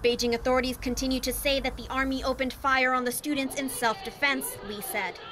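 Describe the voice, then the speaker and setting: High-pitched woman reporting the news. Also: fairly even intonation, fairly quick, fairly bright tone, a faint crowd. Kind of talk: live news report and scripted narration. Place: outdoors on a busy street at a protest. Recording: clear street noise behind the voice.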